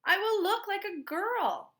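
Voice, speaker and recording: A woman's voice: very high-pitched, animated, unhurried, sharp tone, pitched above the performer's own voice, exaggerated voice. A woman voicing an earnest young boy from a storybook, with a plaintive manner. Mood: disgusted. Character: young boy, a reluctant good sport in a storybook.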